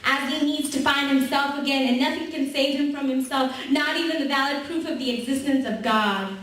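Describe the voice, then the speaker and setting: A woman's voice: high-pitched, brisk, fairly bright tone. A young woman from Sri Lanka reciting an earnest spoken word poem at an open mic. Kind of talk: spoken word poetry performance. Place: university event hall. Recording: echoey hall recorded from far off.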